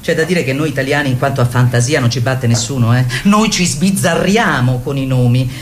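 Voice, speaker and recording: A woman's voice: extremely low pitch, brisk, a little hiss or hum. An actress playing an assertive female therapist who leads a women's group in a didactic, declamatory way in a radio play. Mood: indignant, ironic. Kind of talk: theatrical radio play monologue.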